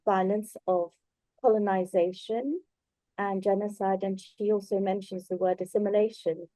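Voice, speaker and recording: Thoughtful woman with hesitant, halting phrasing. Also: mid-range voice, dark tone, no echo, rough recording. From Bangladesh in early childhood, then moved abroad. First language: Bengali. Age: middle-aged or older. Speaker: invited speaker at a book launch. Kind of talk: reflective conversational talk.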